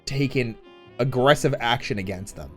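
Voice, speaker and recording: A man hosting a casual stream chat, playful and quick to jump into high squeaky exclamations. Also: faint music behind.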